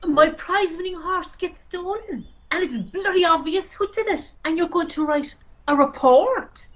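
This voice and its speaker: A woman with an Irish accent playing an irate, sarcastic, sharp-tongued racehorse owner in an amateur radio play. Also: very high voice, normal speed, muffled recording. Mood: indignant. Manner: indignant, sarcastic, scornful.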